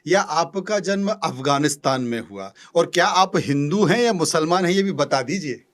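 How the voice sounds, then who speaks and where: A man's voice: fairly high pitch, lively intonation, moderate pace. A man hosting a podcast interview, asking probing, pointed questions.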